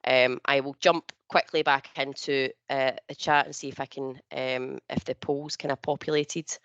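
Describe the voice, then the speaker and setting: A woman's voice: very deep voice, steady tone, normal speed, fairly bright tone, smooth, no echo, lo-fi sound. A woman hosting a business webinar, speaking with many fillers and restarts over a video call. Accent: not local to the northeast of England. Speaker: webinar host and partner manager.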